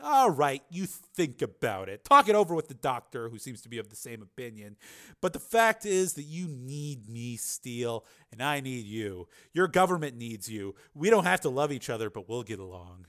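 A man's voice: medium pitch, big pitch swings, moderate pace, fairly bright tone. A man voicing a cool, calculating government official in an audiobook, speaking in an insistent, matter-of-fact way. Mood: coolly persuasive.

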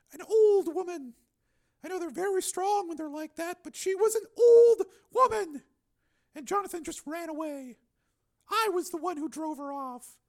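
A man voicing a grieving young woman who speaks in a high, strained, tearful way. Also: gravelly, darkish tone.

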